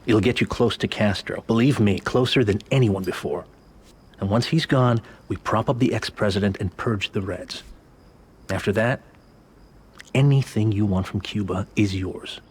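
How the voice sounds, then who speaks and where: A man playing a calculating middle-aged American CIA agent in a video game, speaking in a measured, persuasive, matter-of-fact way. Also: fairly low pitch, breathy edge. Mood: confident scheming. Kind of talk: cinematic video game acting.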